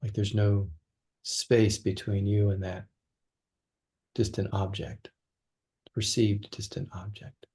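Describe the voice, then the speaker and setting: A man leading a guided meditation as a teacher, speaking gently and slowly with long pauses between phrases. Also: on the low side, level tone.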